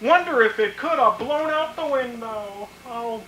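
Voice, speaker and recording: A man performs a grandiloquent old-radio con man with a bright, forceful voice. He blusters and dodges with flowery pomp over faint noise. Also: extremely high pitch, a little slow, exaggerated voice, low-quality recording. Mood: blustering, evasive. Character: pompous wily male swindler, flowery and evasive. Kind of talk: 1940s radio comedy acting.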